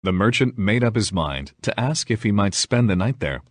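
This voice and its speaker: A man's voice: fairly low pitch, expressive, moderate pace, no room sound. A man reading a fairy tale as scripted narration, in the role of a storyteller.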